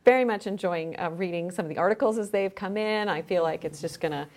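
A woman's voice: fairly low pitch, quick pace, a little mellow. Woman explaining things in conversational interview. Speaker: podcast guest, scholar and journal editor.

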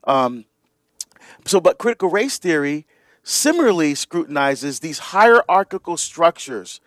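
Relaxed talk-radio host, higher voice. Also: male voice, measured pace, dry recording. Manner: relaxed explaining with fillers and laughs.

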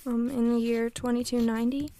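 A woman's voice: fairly high pitch, level tone, a little fast, mellow, natural voice. A woman playing a nervous young mechanic who stammers. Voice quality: hesitant, stammering.

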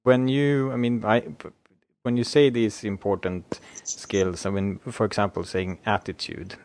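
A man interviewing a guest, asking questions with encouraging remarks and slightly halting phrasing. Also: lowish voice, little pitch movement, a little mellow.

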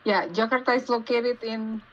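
A woman with an Indonesian accent giving casual interview answers, haltingly searching for words as she goes. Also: a little high, fairly even intonation, fairly bright tone. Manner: halting, searching for words in non-native English. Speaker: podcast guest, geologist. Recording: dull lo-fi call with faint noise.

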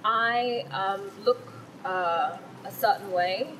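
A woman's voice: mid-range voice, slow, a bit bright, slight background noise, rough recording. A woman born in Thailand, whose first language is Thai, answering interview questions thoughtfully and earnestly, with frequent fillers and restarts. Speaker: author being interviewed.

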